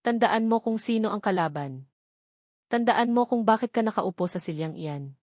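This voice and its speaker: A woman's voice: medium pitch, lively intonation, moderate pace, a little mellow, dry recording, lightly acted. A commanding elderly matriarch from a romance audio story, voiced by a woman narrator. She is suspicious and direct, and speaks in pointed orders and questions. The sound is close and dry. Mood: warning. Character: stern, suspicious elderly rich matriarch grandmother.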